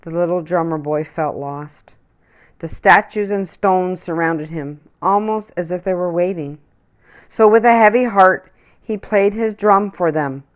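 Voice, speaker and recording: A woman reading a children's picture book aloud in an expressive storytelling manner. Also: on the low side, a little slow.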